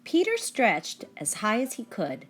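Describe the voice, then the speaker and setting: Very animated woman reading a children's story. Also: fairly high pitch, unhurried, soft background music. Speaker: story reader. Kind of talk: children's read-aloud narration.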